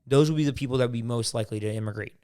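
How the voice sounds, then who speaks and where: A man's voice: mid-range voice, racing, warm tone. Opinionated male podcast co-host. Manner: opinionated, explains with everyday examples, acts out quotes. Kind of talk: casual chat.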